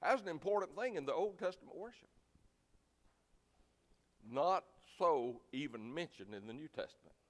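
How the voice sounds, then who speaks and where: A man's voice: on the high side, expressive, normal speed, pauses often. Preacher man in a reverberant hall. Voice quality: loud voice. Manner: folksy, plainspoken, emphatic, swinging from quiet asides to loud exhortation. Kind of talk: sermon.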